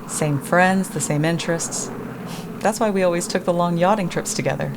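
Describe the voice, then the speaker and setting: Woman playing low-voiced, effusive radio-drama widow. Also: normal speed, noisy background, lightly acted. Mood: earnest, gushing. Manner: effusive, overdone assurances. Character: widow, earnest, gushing and ingratiating female suspect. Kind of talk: 1950s radio drama acting.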